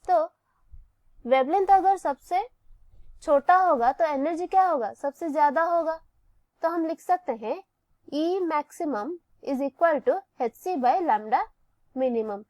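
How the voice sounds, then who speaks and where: High-pitched Indian woman teaching slowly. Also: darkish tone, dry recording. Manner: methodical, poses questions and answers them. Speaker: physics teacher. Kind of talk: step-by-step lesson, explaining a worked problem.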